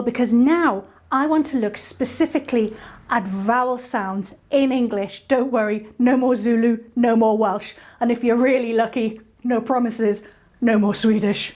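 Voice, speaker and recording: Woman, singing teacher, playful, chatty, fairly high voice. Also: a little mellow. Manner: chatty, playful, quick asides. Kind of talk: casual online lesson.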